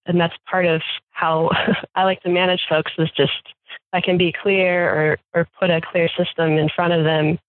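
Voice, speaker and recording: A woman's voice: fairly low pitch, little pitch movement, normal speed, no room sound. A woman farm manager talking casually in an interview, chatty with fillers and restarts. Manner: chatty, many fillers and restarts, laughs often. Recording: tinny phone line, dry.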